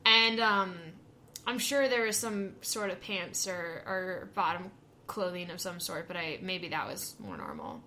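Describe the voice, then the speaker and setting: A woman's voice: fairly low pitch, lively intonation, unhurried, gravelly, pushed and bright, slight background noise. A young woman co-hosting a podcast in casual chat, talking with dry, self-deprecating humor and many fillers. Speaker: podcast co-host.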